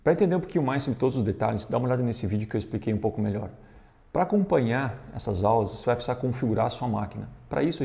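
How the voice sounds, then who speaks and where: A Brazilian man opens a coding course in a friendly, upbeat way. He talks quickly, and his fairly low voice has a gravelly edge. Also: mellow. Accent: Brazilian Portuguese. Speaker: tech manager presenting the course. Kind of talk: scripted course introduction.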